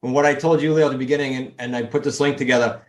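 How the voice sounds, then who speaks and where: Man, New England accent, podcast guest pitching his program; roomy echo on the voice. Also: rapid-fire. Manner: energetic, pitching steps and links. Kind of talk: casual interview talk. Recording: echoey room.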